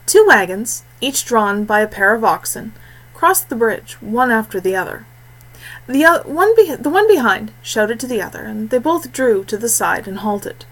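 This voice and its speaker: A woman narrating a scripted fantasy story in a measured, expressive way with deliberate phrasing. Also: on the high side, poor audio. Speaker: audiobook narrator.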